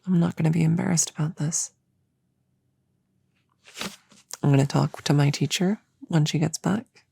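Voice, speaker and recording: A woman playing a flustered, earnest young novice witch in an ASMR audio roleplay, soft-spoken and halting, slipping into whispers. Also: deep voice, steady tone, gravelly, slightly rough, no echo, full character voice. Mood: defensive resolve.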